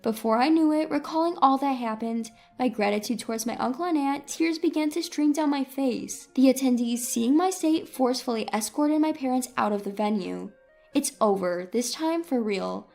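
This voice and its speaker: A woman's voice: sits high, moderate pace, vocal fry, warm tone, soft background music. A woman reading a scripted first-person story as a dramatic narrator.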